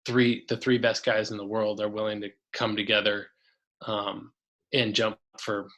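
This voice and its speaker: A man's voice: on the low side, little pitch movement, a little slow, a bit bright. Rambling, enthusiastic man on a podcast. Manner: rambling, restarts sentences, enthusiastic. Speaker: podcast guest, coach. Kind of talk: casual podcast conversation.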